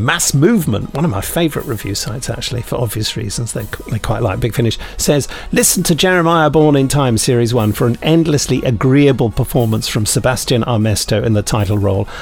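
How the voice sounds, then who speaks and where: A man co-hosting a podcast, chatting and reading out reviews in a jokey, rambling, digressive way. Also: mid-range voice. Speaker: podcast co-host.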